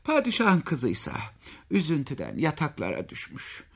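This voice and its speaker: A man's voice: fairly high pitch, huge pitch swings, normal speed, vocal fry, husky. A man telling a fairy tale expressively, in a dramatic, folksy way with interjections to the listener. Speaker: storyteller.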